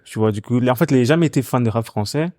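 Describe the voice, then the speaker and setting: A young man from Ghana, a musician, chatting in a relaxed, halting way with many fillers and restarts. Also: mid-range voice, dry recording, studio quality. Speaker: musician guest. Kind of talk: casual interview chat.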